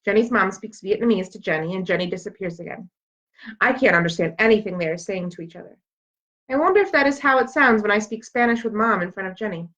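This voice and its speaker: A woman reading a children's book aloud as an expressive storyteller. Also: mid-range voice, fast talker. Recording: dull, lo-fi sound.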